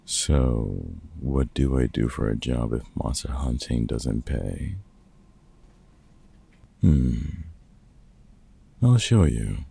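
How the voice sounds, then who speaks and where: A man acting out a gruff, sarcastic older vampire hunter who mentors with grumpy care, husky and dryly teasing, in an audio roleplay. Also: extremely low pitch, animated, extremely slow, long pauses, mellow, exaggerated voice. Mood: teasing, fond. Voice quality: gravelly, husky. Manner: dry, teasing, sardonic.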